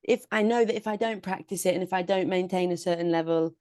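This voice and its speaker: A woman's voice: lowish voice, fast talker, darkish tone, no echo, studio quality. A British woman co-hosting a podcast in a casual, conversational style. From United Kingdom. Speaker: podcast co-host. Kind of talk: casual chat.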